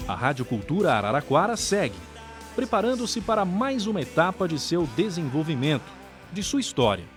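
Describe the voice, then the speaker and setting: A man's voice: fairly high pitch, very animated, moderate pace, faint music behind. A man with a Brazilian accent reading scripted documentary narration as the narrator.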